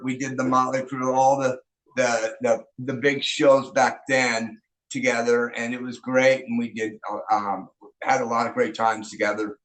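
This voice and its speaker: An older man recalls old times in an easygoing, rambling way, stopping and restarting often. His voice sits at a middle pitch. The sound has a noticeable room echo around it. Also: fairly bright tone. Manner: rambling, many fillers and restarts. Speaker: rock band singer and guitarist. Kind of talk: casual interview storytelling. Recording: echoey room.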